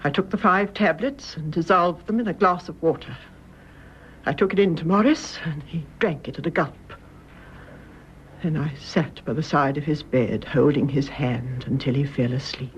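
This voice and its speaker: An actress playing a composed, stoic older mother in a radio drama, speaking in a measured, tender way that drops into hushed tones. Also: deep voice, close to an everyday voice. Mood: grave, sorrowful. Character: composed, stoic elderly mother confessing to mercy killing. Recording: muffled, hissy old radio drama recording.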